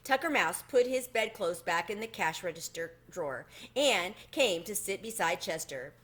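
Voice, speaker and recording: A woman reading a children's story aloud in an expressive narrating style. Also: fairly low pitch, moderate pace, slightly rough. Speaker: storybook reader.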